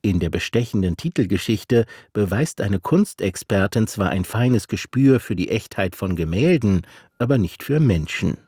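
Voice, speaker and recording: A man narrating a radio feature, measured and literary, and interviewing an author. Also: lowish voice, animated, warm tone, slightly rough, no room sound, clean studio sound. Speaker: radio reporter. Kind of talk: scripted narration.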